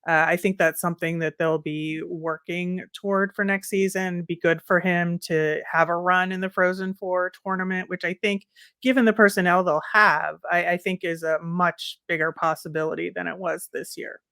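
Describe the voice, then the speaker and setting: A woman co-hosts a sports podcast in a casual, conversational way. Her voice sits fairly low for a woman. The sound is dry, with no room echo. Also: level tone, normal speed, a bit bright.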